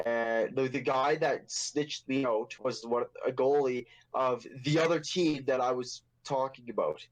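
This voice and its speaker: A man's voice: medium pitch, measured pace, poor audio. A young man hosting a casual podcast, telling a story in a rambling, profane, joking way. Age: young adult. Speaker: podcast host.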